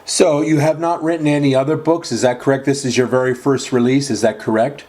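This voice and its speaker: A man's voice: medium pitch, steady tone, brisk, a little mellow. A man hosting an interview show, asking formal questions and often repeating them. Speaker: podcast host.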